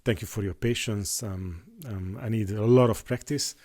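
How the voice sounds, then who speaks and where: A man whose first language is Hungarian, talking in an unscripted monologue on his channel, halting with many restarts and fillers. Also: lowish voice, steady tone, darkish tone. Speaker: YouTuber.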